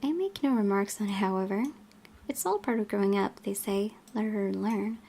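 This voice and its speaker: A woman's voice: mid-range voice, normal speed, creaky, warm tone. A woman hosting a gaming channel, reading a visual novel's narration aloud with occasional asides.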